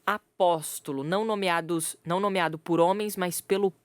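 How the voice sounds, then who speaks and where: A woman's voice: on the low side, steady tone, moderate pace, dry recording. A woman co-hosting a radio podcast, chatting casually about the Bible and laughing often. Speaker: podcast co-host. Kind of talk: casual Bible study chat. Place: radio studio.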